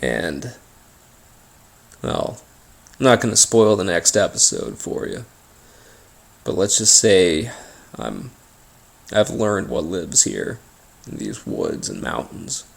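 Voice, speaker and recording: Slow, gravelly American man telling spooky stories. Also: sits low, very animated. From Appalachian region of the United States. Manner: halting, pausing between short phrases. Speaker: host and narrator of a paranormal story series. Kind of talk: informal first-person spooky storytelling.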